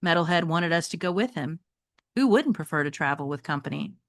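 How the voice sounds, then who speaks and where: A woman's voice: low voice, a little fast, dry recording, clean studio sound. A woman teacher reading a children's novel aloud expressively, speaking directly to her young listeners. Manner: expressive, addresses listeners with check-in questions.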